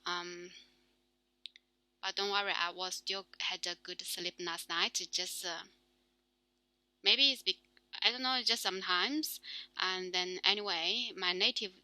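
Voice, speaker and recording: A young woman with a Chinese accent, speaking as a non-native talking through her reading in a halting, filler-heavy monologue. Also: fairly low pitch, steady tone, bright, forceful tone, no room sound, low-quality recording. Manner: halting, many fillers and restarts. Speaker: solo talk show host. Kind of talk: casual spoken practice monologue.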